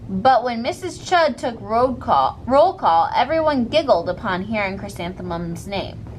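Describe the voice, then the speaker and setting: A woman giving an expressive read-aloud narration of a children's storybook. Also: on the high side, a little slow, slight background noise, low-quality recording. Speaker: storybook reader.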